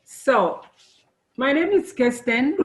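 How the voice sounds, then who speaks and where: A middle-aged woman born and raised in Zambia, giving a friendly, unhurried presentation to students, with many fillers. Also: sits high, very animated. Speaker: engineer giving a talk to students.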